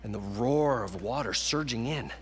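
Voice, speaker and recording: An actor playing an eager, chatty salvager in a video game cutscene. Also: male voice, on the low side, expressive, normal speed, soft background music, lightly acted. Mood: recounting. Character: eager older male salvager, folksy and chatty.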